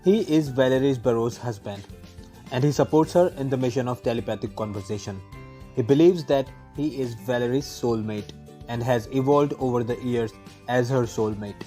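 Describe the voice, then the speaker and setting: A man reads a prepared introduction for the next speaker in a calm, measured way. His voice is mellow and warm. Soft music plays faintly underneath. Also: medium pitch. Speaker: event host.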